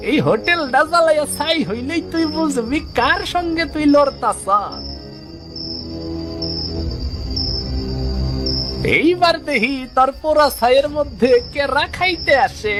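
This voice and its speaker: A man voicing a jealous, spiteful village hotel owner, a blustering cartoon villain, in exaggerated animated-story style. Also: extremely high pitch, normal speed, hardly any pauses. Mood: gloating. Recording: music behind the voice.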